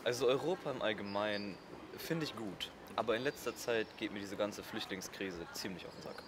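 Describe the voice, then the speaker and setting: A man's voice: fairly low pitch, brisk, background noise. A young man answering a street reporter bluntly into a handheld mic outdoors. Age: young adult. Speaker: interviewee on the street. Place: outdoors in a town square.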